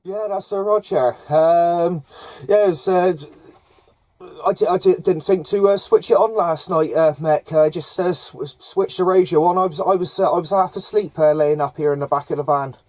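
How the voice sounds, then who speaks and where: A man with a hesitant, halting delivery, making casual CB radio contacts with call signs and jargon. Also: high voice, darkish tone, dry recording. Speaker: amateur CB radio operator running contacts. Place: camper van up in the hills.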